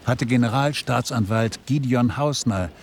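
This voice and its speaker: An actor playing a male eyewitness narrating his memories in a measured way for a radio drama. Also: mid-range voice, gravelly, a little hiss or hum. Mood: reflective, measured. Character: male eyewitness recounting the trial in retrospect.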